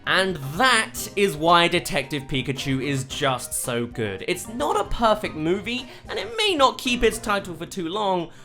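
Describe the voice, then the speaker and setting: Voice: mid-range voice, very animated, unhurried, few pauses, pushed and bright. A reviewer narrating a scripted video essay, stressing key words emphatically and drawing them out. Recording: music behind the voice. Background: background music.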